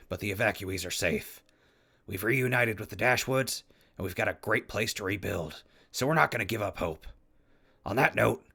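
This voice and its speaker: A man's voice: lowish voice, gravelly, sharp tone, husky, heavily acted. A man playing a wry, big-hearted wasteland radio host in an audio drama, with a folksy manner. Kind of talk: post-apocalyptic audio drama acting.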